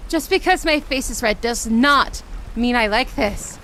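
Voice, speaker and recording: A woman's voice: a little high, lively intonation, a little slow, pushed and bright, a little hiss or hum. A woman voicing a shy, grumpy young mermaid in a romantic roleplay audio, protesting indignantly and stammering in denial. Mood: embarrassed denial.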